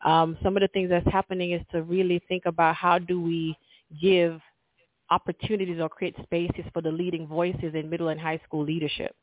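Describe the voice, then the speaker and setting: A woman's voice: on the low side, little pitch movement, moderate pace, dry recording. Jamaican-accented woman, middle school principal being interviewed, earnest and upbeat, fillers and restarts, thin phone-like call sound, dry. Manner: enthusiastic, earnest, polite with frequent fillers. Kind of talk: conversational interview. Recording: tinny call audio, dry.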